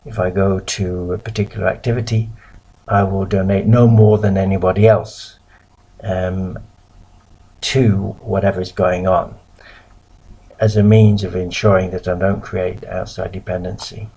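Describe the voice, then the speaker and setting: A middle-aged man from the UK giving a recorded lecture as a missionary, measured and pausing often. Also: low-pitched, level tone, vocal fry, warm tone, slightly rough, poor audio. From United Kingdom.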